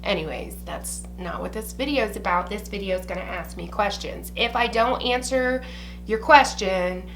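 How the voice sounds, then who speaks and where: A woman's voice: medium pitch, moderate pace, over music. A young woman from Ohio, chatting to camera in a blunt, casual way and swearing freely. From Ohio, USA. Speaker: beauty vlogger. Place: small indoor room with shelves behind.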